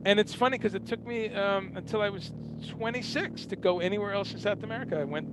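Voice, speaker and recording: Host, 50s man from Guyana, slightly high voice, loose and rambling, street hum audible behind. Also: fast talker. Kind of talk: casual talk-show intro monologue.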